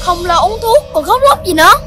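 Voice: very high voice, lively intonation, fairly quick. An actor voicing an eager, playful, outspoken little child in a children's cartoon. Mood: helpful. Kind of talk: children's animated cartoon voice acting. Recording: music under the voice.

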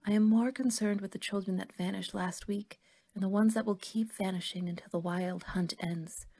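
A woman playing a kindly, worried older woman who believes in fairies, gentle and motherly in an audio drama. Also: mid-range voice, fairly even intonation, moderate pace, natural voice. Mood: grave, haunted.

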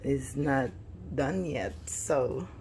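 Slow, low-voiced woman touring her basement. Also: lively intonation, darkish tone, slight background noise. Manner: hesitant, many restarts and fillers. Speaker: home vlogger. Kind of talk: casual home tour narration. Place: finished house basement, indoors.

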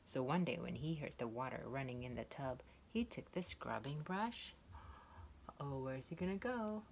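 A young woman reading a picture book aloud to small children in a playful, expressive storytelling style with little asides. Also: a bass voice, moderate pace, a little hiss or hum. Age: young adult. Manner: playful, expressive, exclaiming and questioning. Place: indoors, wood-ceilinged room.

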